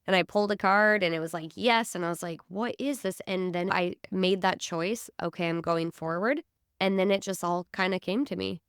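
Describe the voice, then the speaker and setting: A young woman co-hosting a casual podcast, stuffy from a cold, telling stories in an animated way and laughing easily. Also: on the low side, normal speed, clear, resonant tone, no room sound, studio quality. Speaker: podcast co-host.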